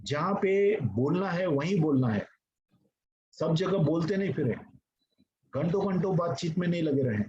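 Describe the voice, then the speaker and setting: Male pastor, earnest sermon, slightly high voice, dull lo-fi recording. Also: animated, moderate pace, long pauses, a little mellow. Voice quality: mixes English phrases into speech. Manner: earnest, repetitive, addresses congregation directly. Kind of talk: sermon teaching. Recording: dull lo-fi recording with faint noise.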